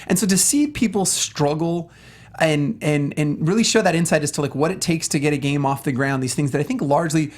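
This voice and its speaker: A man's voice: on the high side, a little fast. A man co-hosting a casual film discussion show, speaking with emphatic, earnest enthusiasm. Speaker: talk show co-host.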